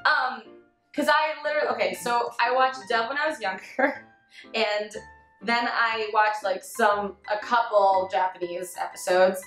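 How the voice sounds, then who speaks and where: A young adult woman chatting casually about anime in an excitable, giggly way. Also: on the high side, unhurried. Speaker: panelist, amateur fan-dub voice actress. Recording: echoey room, faint music underneath.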